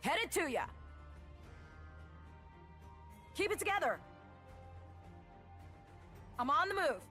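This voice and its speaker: Sarcastic game heroine with swooping pitch. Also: female voice, unhurried, soft background music, exaggerated voice. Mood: brisk, determined. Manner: dry, sarcastic, deadpan delivery. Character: young female warrior, dry, sarcastic, darkly humorous, fiercely devoted. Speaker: video game champion. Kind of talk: video game voice lines.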